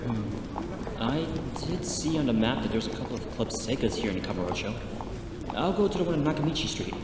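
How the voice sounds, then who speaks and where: A man's voice: medium pitch, expressive, a little fast, very noisy, lightly acted. A man acting an earnest, upbeat young martial arts trainee in an amateur audio drama, musing aloud. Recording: very echoey reverb, music underneath.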